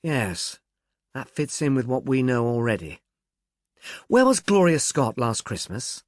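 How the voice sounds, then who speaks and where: A man voicing a brisk, businesslike police inspector in an audiobook, with a dispassionate, official, probing manner. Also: medium pitch, big pitch swings, no room sound, clean studio sound. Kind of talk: audiobook character dialogue.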